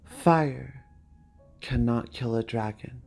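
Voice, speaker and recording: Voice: mid-range voice, slow, creaky, darkish tone. A video creator with a mid-range voice, narrating fantasy drama and chatting casually to viewers. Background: background music.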